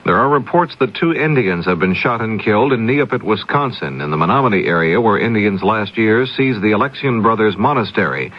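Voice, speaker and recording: A male radio newscaster from the 1970s with a low voice and a husky edge. He reads a scripted bulletin. The sound is dull and lo-fi, like an old AM broadcast. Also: lively intonation, a little fast, few pauses.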